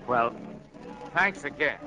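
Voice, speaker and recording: A man's voice: mid-range voice, lively intonation, takes it slowly, loud music behind the voice, rough recording. An actor playing a breezy, chummy show-business man in a 1940s radio drama. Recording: radio broadcast with busy crowd.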